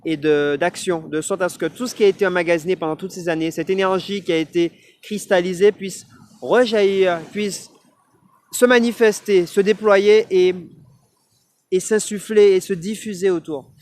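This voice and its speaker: A man from Martinique talking about himself in a casual vlog, thoughtful and searching for words, pausing with fillers. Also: high-pitched, fairly even intonation, a little mellow. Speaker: vlogger. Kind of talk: casual personal vlog monologue. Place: outdoors in strong wind. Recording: faint wind and outdoor noise.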